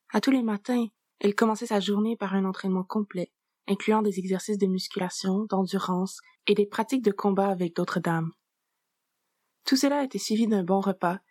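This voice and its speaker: A woman narrating a fan story in a calm, even storytelling manner. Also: mid-range voice, no echo. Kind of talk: reading a fan story aloud.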